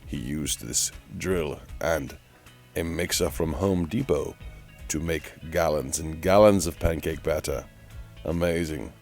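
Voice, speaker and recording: A man's voice: extremely low pitch, vocal fry, darkish tone, soft background music, heavily acted. A man voicing a flamboyant, theatrical announcer who muses grandly and teasingly in a mock play-by-play for an online video. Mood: theatrical musing. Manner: grandiose, drawn-out, musing aloud, mock-dramatic.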